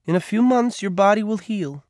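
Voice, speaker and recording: A man voicing a caring woman nurse, speaking gently in a raised, lighter voice. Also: high-pitched, expressive, normal speed, no room sound, studio quality, pitched above the performer's own voice. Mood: gentle.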